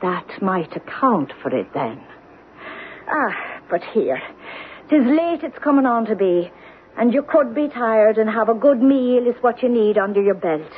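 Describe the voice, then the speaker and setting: A woman's voice: on the high side, animated, a little slow. An actress playing a tiny, doleful old Irish cottage woman, mysterious and insistent, in a lilting archaic dialect for an old-time radio horror drama. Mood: coaxing. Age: older. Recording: tinny old radio broadcast, faint noise.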